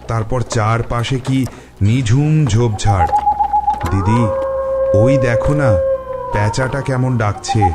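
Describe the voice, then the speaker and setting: A man playing a timid young village farmhand who fears ghosts, pleading in a trembling, whispery voice for an animated horror story. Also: mid-range voice, natural voice. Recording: loud music under the voice.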